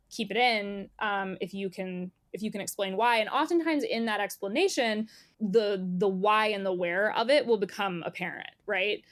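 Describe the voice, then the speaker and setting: A woman's voice: mid-range voice, no room sound, studio quality. A woman speaking as an editor, explaining things in casual conversation and often acting out imagined remarks. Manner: conversational, illustrates points with imagined quotes. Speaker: magazine editor being interviewed.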